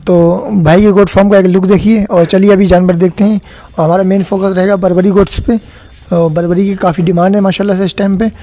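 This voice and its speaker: Mellow-voiced man hosting a farm tour. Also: high-pitched, level tone, fast talker. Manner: friendly questioning, short agreeing interjections. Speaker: farm video host. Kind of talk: casual walkthrough interview. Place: outdoor goat farm pens.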